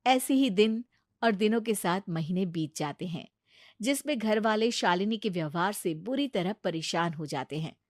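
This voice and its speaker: A woman's voice: medium pitch, lively intonation, normal speed, darkish tone, no echo, clean studio sound. A woman reading a scripted family story as its storyteller.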